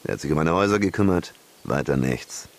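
An actor playing a slick, self-assured red-light boss in a German radio drama, jovial and expansive with menace underneath. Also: male voice, rumbling low, animated, quick pace. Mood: evasive, smug.

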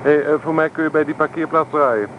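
An actor playing a bantering, easily indignant young student, a farmer's son, in a radio play with naturalistic dialogue. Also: male voice, a little high, fairly even intonation, quick pace, phone-quality sound, natural voice. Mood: offended. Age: young adult. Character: excitable, touchy young male student, farmer's eldest son. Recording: noisy old radio play recording.

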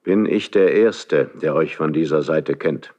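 A proud, ageing monarch in a classical verse radio play. The voice is very low and moves very slowly, with weighty pauses and an imperious, solitary gravity. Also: male voice, darkish tone, lo-fi sound. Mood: measured, appraising. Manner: measured, weighty, imperious delivery with long pauses. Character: grave, proud, solitary ageing king of Spain. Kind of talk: classical German stage verse radio drama.

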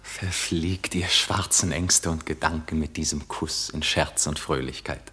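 An actor playing an ardent, carefree young prince in love in a classical German radio drama. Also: male voice, extremely low pitch, steady tone, unhurried, bright, forceful tone, breathy edge, heavily acted.

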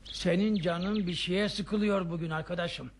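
An actor playing a friendly, caring companion with a creaky voice in a radio play. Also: male voice, sits high, steady tone, a little slow. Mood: concerned, curious. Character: friendly, caring male friend on a park outing. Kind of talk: radio theatre acting. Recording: faintly noisy, birdsong behind.

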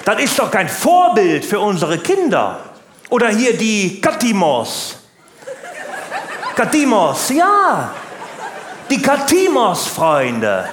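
A man's voice: high voice, huge pitch swings, slow, pauses often, fairly bright tone, some chatter behind, heavily acted. A man performing stand-up as a chatty, naive, grumbling working-class family man, rambling and exaggerating in a folksy way. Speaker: stand-up comedian. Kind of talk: stand-up comedy monologue to live audience. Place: stage in a live comedy venue. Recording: roomy live hall, faint background noise.

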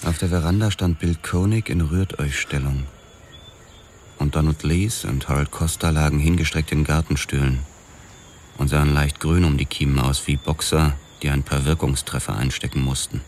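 An actor narrating as a weary, sardonic police detective in a radio drama, with dry and clipped delivery. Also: male voice, extremely low pitch, steady tone, moderate pace, darkish tone, slight background noise.

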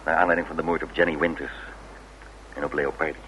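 An actor playing a cool, terse private detective in a radio play, deadpan and clipped. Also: male voice, rumbling low, fast. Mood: cool, accusing. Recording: noisy old radio drama recording.

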